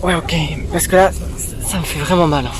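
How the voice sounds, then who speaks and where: Man as high-voiced blunt young survivor. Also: fairly even intonation, a little fast, faint music behind, close to an everyday voice. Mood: pained.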